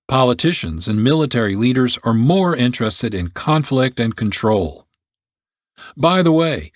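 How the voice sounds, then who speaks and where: A man's voice: medium pitch, dry recording. Dry-sounding man hosting a radio documentary. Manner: explanatory, addressing listeners. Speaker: host. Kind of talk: scripted radio documentary narration.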